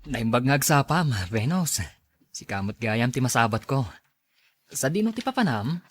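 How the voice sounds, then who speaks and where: Man acting a husky, jealous rejected suitor. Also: medium pitch, very animated, normal speed. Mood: friendly. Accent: Ilocano Filipino. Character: pushy, jealous rejected young male suitor. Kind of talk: Ilocano audio drama acting.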